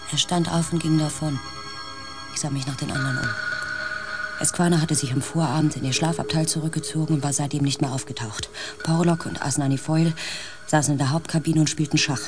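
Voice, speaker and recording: A man's voice: on the high side, fairly even intonation, brisk, over music, lightly acted. An actor playing a thoughtful, uneasy male member of a space expedition in a radio play, speaking in a hesitant, intimate way. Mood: reflective narration. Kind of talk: German science fiction radio play acting and narration.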